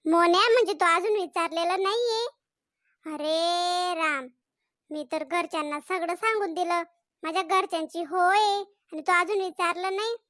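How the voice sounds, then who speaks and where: A woman's voice: extremely high pitch, bright, forceful tone, dry recording, studio quality. A woman voicing a lovesick, emotional young village woman in a cartoon comedy, who pleads with and reproaches her sweetheart. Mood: hurt.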